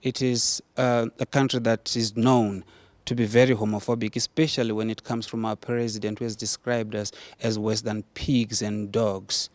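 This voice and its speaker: A man's voice: on the low side, level tone, normal speed, no room sound. A man from Zimbabwe, an activist guest on a studio debate, answering with many hesitations and restarts.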